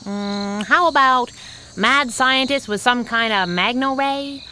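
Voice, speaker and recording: An actress playing a plucky, wisecracking young crime-fighting sidekick in a pulp radio adventure, with a sardonic, snappy delivery. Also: female voice, fairly high pitch, slow, pushed and bright, a little hiss or hum. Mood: curious, snappy.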